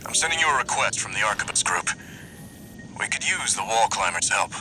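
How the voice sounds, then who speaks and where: A man's voice: lowish voice, sing-song, a little fast, sharp tone, slight background noise, exaggerated voice, poor audio. An actor playing a confident, friendly male mech pilot in a video game, breezy but businesslike. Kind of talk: video game voice acting, radio message.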